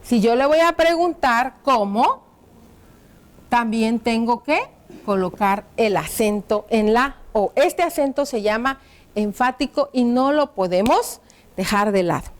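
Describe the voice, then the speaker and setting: High-voiced woman teaching a class. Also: lively intonation, unhurried. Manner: patient, explanatory, frequent check-in questions. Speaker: primary school teacher. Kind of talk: educational TV class, addressing pupils.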